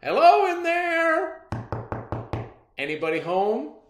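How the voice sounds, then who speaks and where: A man's voice: very high-pitched, huge pitch swings, takes it slowly, background noise, voice pushed up, heavily acted. Man playing a hearty, folksy country dad. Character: easygoing country father, hearty, plain-spoken. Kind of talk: storybook character reading. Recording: roomy echo.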